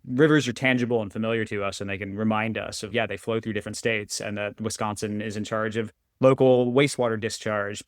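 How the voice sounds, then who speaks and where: A man's voice: on the low side, no echo, studio quality. A man guesting on a podcast as an author, talking in an eager way and cutting himself off mid-thought. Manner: quick, eager, self-interrupting. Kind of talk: conversational interview.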